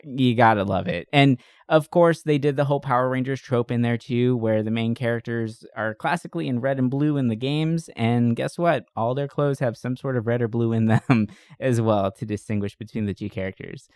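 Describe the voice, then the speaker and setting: A man's voice: mid-range voice, normal speed, few pauses, darkish tone, smooth, dry recording, clean studio sound. A man co-hosting a podcast, chatting informally and enthusiastically in a casual, slangy review style. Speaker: podcast co-host. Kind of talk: casual movie review chat.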